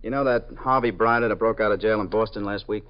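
A man's voice: lowish voice, lightly acted. An actor playing a dutiful male police detective reporting to his lieutenant, in 1950s radio drama style. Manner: quick, respectful reporting. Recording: dull old radio broadcast.

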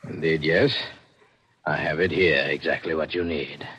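A low-voiced, husky pawnbroker who sells guns on the sly. He is mercenary and speaks with hesitant, formal courtesy. It is vintage radio drama on a muffled recording. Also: steady tone, fairly bright tone, heavily acted. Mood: sly, unhurried. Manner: hesitant, formally polite, deliberate phrasing. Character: sly male pawnbroker, illicit gun seller, coolly mercenary. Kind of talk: 1940s radio drama acting.

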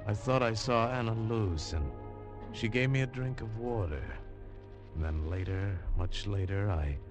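A man's voice: fairly low pitch, measured pace, music in the background. A man as hero and narrator of a 1950s radio adventure drama, telling the story in an earnest, urgent way.

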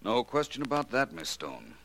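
An actor playing a shrewd, self-assured private detective in a theatrical, deliberate 1940s radio drama style. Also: male voice, medium pitch, wildly swooping pitch, gravelly, a bit bright, no echo, heavily acted. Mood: explaining calmly. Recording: noisy old 1940s radio recording.

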